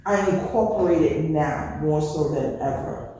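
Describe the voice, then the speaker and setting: A woman from the Bronx, a fashion designer telling her story in a casual interview, animated and full of "you know" fillers. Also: sits low, unhurried. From New York, Bronx. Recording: echoey hall from far off, faint noise.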